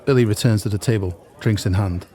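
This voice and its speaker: A man narrates an audio play from a script. His voice is low, with a dark, relaxed tone. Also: brisk.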